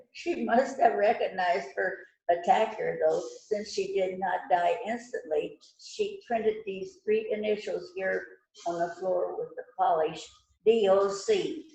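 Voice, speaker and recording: A woman's voice: medium pitch, measured pace, creaky, mellow. A woman reading the part of a polite, methodical police detective in an amateur mystery play rehearsal. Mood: methodical, questioning. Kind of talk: amateur readers theater rehearsal, script reading. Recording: echoey room, distant mic.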